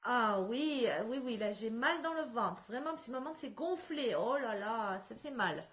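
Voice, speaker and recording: A woman working as a medium in a spirit contact session over a video call. She talks in halting restarts and laughs often. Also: on the high side, lively intonation, a bit bright. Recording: slightly dull video call audio.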